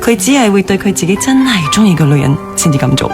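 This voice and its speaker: A woman's voice: medium pitch, very animated, quick pace. An actress playing a candid, self-possessed, wistful former girlfriend in radio drama dialogue. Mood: probing, friendly. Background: music bed.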